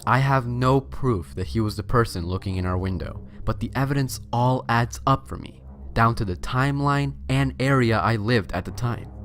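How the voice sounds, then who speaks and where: A man's voice: medium pitch, moderate pace. A man hosting and reading a scary story as narration, with a theatrical, suspenseful delivery. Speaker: host and story reader.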